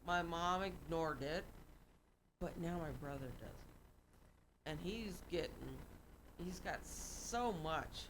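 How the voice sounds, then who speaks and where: A middle-aged woman, a guest, telling a story in an animated, emphatic way. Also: fairly low pitch, slow pace, long pauses, noisy background. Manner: animated, emphatic, quotes voices in her stories. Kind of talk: casual storytelling.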